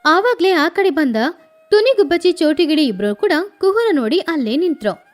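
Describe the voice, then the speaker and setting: A woman narrating a children's story in an animated storytelling style. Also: very high voice, normal speed.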